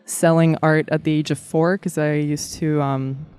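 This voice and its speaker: Low-voiced woman from Kazakhstan, storytelling. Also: normal speed, clear, resonant tone. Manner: warm, animated storyteller with frequent laughs and fillers. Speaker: guest artist. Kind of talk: casual interview storytelling.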